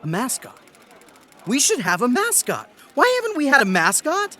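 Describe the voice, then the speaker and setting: A man playing an excitable, earnest school principal who loves mascots. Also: extremely high pitch, moderate pace, heavily acted. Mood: excited. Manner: enthusiastic, earnest.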